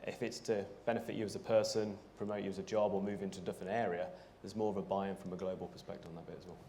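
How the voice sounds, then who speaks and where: A man answering on a conference panel in a brisk, confident way. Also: low voice, flat delivery, dark tone, a little hiss or hum. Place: conference hall.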